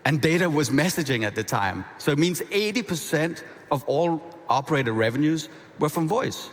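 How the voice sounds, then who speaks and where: A man with a Danish accent giving a conversational, energetic conference keynote, often restarting his phrases. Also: on the high side, normal speed. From half Danish. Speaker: keynote speaker. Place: large conference hall. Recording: reverberant hall.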